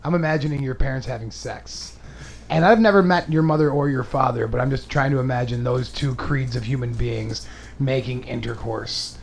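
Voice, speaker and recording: A man's voice: medium pitch, moderate pace, gravelly, a little hiss or hum. A man hosting a comedy podcast in casual, crude chat, rambling, self-mocking and profane. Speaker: podcast host and stand-up comedian.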